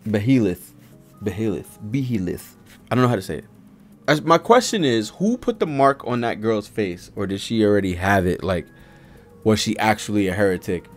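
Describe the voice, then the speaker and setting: Young adult American male streamer, very lively intonation, casual slangy profane commentary, close desk mic, music underneath. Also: on the low side, normal speed. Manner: animated, slangy, profane reactions. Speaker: streamer reacting to a manga. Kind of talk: casual live read-along commentary. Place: home studio room with streaming setup. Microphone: desk microphone close by.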